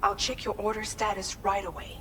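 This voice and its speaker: An actress playing an earnest operations colleague who explains mission findings in a measured, businesslike way in a game. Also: female voice, medium pitch, level tone, far from the mic, noisy background, close to an everyday voice. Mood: earnest, composed. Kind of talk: video game cutscene briefing.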